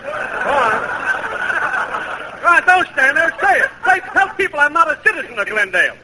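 An actor playing a belligerent, touchy troublemaker in old radio comedy, needling and slangy, twisting words into insults. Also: male voice, very high voice, big pitch swings, moderate pace, sharp tone, full character voice. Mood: aggrieved goading. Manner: needling, goading, working-class slangy. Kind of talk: 1940s radio comedy acting. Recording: faintly noisy vintage radio recording.

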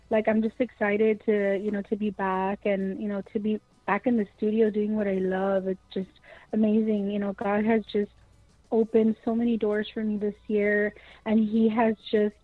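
A woman's voice: mid-range voice, no echo. A woman, speaking effusively and filling her speech with "you know". She comes through a thin, phone-like line with a close, dry sound. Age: 40s. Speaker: singer, guest. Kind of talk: phone-in interview.

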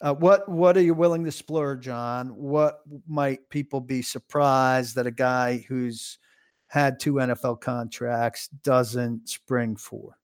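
A man's voice: mid-range voice, steady tone, slow pace, clear, resonant tone. A man interviewing a guest, asking questions in a playful, teasing way. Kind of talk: podcast interview.

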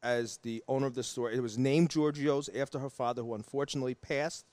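A man hosting a casual podcast chat, talking in a rambling, animated way. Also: mid-range voice, dry recording. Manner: rapid-fire, rambling, animated. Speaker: podcast host. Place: pastry shop.

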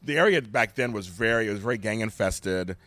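An older man from Los Angeles with a deep voice, a guest talking candidly and emphatically, often repeating himself. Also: fairly quick, a bit bright. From Los Angeles, USA. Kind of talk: candid conversation.

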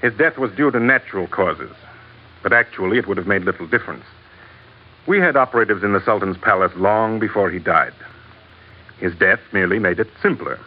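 A man's voice: fairly low pitch, animated, a little fast. A man playing a smug villainous court advisor in old radio drama, mock-polite and wordy. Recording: dull old radio recording.

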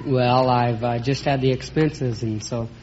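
A man's voice: mid-range voice, fairly even intonation, measured pace, a little mellow, some chatter behind. A man answering a host briefly and plainly as a contestant on a vintage radio quiz. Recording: dull noisy vintage radio recording.

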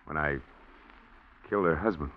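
An actor playing a nervous embezzler confessing to murder, halting and stammering, in an old radio drama. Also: male voice, extremely low pitch. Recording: dull old radio broadcast.

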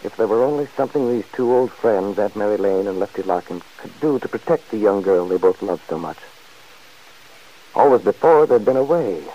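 A man's voice: fairly low pitch, lively intonation, a little fast. A man narrating a 1940s radio drama in a solemn tone. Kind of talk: scripted narration. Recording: dull old radio recording.

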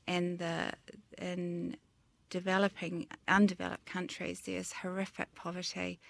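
A woman's voice: fairly low pitch, gravelly, no echo. Woman, New Zealand accent, middle-aged, earnest interview guest, dry close sound. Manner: earnest, reflective, rephrases as she speaks. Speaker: guest, designer and business owner. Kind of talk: interview conversation.

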